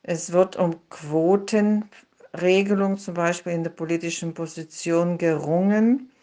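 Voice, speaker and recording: A woman answering an interview question in a halting way, stopping to search for words. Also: on the low side, steady tone, warm tone.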